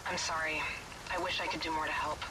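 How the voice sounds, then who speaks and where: An earnest, apologetic military operator calls over game radio. His clipped, fairly high voice sounds distant through a very noisy transmission. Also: level tone, quick pace. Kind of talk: video game radio dialogue.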